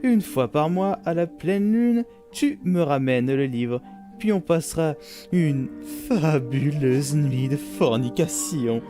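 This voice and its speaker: A man's voice: on the high side, expressive, slow. A man voicing a pompous, lustful aristocratic demon in a cartoon dub, florid and suggestive in his phrasing. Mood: lustful excitement. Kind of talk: animated series dub acting. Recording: loud music behind the voice.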